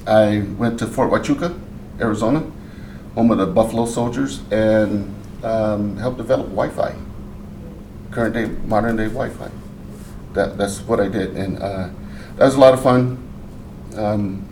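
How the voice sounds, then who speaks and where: Low, mellow middle-aged man in a roomy space. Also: a little hiss or hum. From Portland, Oregon. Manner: unhurried, halting with fillers and restarts. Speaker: interview guest, veteran. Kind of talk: casual life-story interview. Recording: roomy, mic at a distance.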